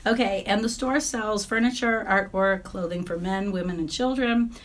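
A slightly hoarse woman hosts a podcast with upbeat enthusiasm. She moves from a scripted introduction to friendly interview questions, speaking at a mid pitch. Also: unhurried, slight background noise. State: hoarse from laryngitis. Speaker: podcast host.

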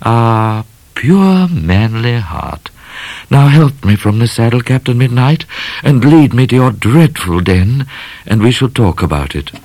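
Man putting on a high, gushing genteel lady. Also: big pitch swings, unhurried, a little mellow, no echo. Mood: teasing, coy. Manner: theatrical, coy, effusive. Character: romantic, gushing, self-assured genteel young lady.

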